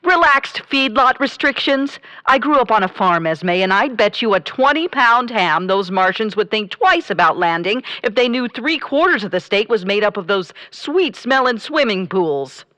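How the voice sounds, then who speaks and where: A woman's voice: fairly high pitch, expressive, normal speed, hardly any pauses, sharp tone, no room sound. An actress playing a self-important, folksy female politician in a comedic radio drama. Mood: self-assured.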